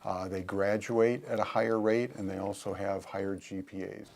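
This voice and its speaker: A man, a university administrator, answering in an interview in a halting way with repeated phrases. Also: low voice, fairly even intonation, gravelly, darkish tone.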